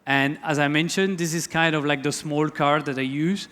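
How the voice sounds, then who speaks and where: A man with a French accent giving a relaxed, loosely worded talk on wildlife photography to an audience, full of fillers and restarts. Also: fairly high pitch, moderate pace. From France. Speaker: photography lecturer. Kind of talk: conference talk.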